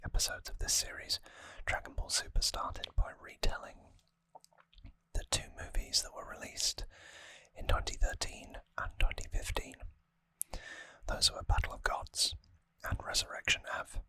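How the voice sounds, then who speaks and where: An adult ASMR host whispering softly and hesitantly in an unhurried ramble, close to the microphone. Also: no room sound.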